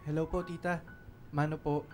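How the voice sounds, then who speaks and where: A man's voice: on the high side, little pitch movement, normal speed, faint music behind, natural voice. Man acting a polite teenage Filipino boyfriend. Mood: polite, sheepish. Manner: respectful, soft-spoken. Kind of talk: Tagalog radio drama acting.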